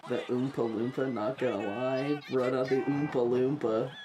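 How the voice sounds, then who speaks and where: A man streaming casual live reaction commentary, talking in an excitable, slangy and profane way. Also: mid-range voice, normal speed, noisy background.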